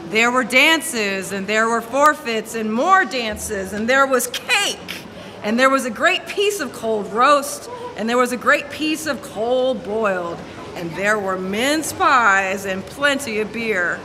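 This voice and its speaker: A woman's voice: a little high, animated, takes it slowly. A woman giving scripted narration in a dramatic story reading. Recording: reverberant hall, faint noise.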